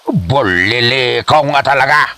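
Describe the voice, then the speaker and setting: A man's voice: mid-range voice, expressive, a little slow, bright, forceful tone, dry recording. A man playing a scolding, sarcastic father in an Ilocano comedy drama, with an Ilocano accent and theatrical nagging delivery. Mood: exasperated. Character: exasperated, scolding father of an ambitious daughter. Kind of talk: Ilocano comedy radio-style drama acting.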